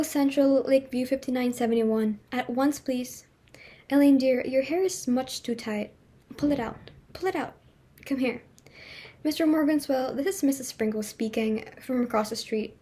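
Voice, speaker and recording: A woman's voice: high-pitched, measured pace, mellow, breathy edge. A woman playing a fussy, snobbish middle-aged society hostess and mother in a play reading, with a theatrical, exclamatory, fretful manner. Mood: bossy, brisk.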